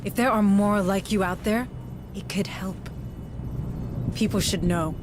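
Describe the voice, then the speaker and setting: A woman's voice: mid-range voice, unhurried, noisy background, lightly acted. A woman acting a determined, outspoken colony administrator in a video game, with an earnest, insistent manner. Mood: urgent, insistent. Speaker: deputy administrator.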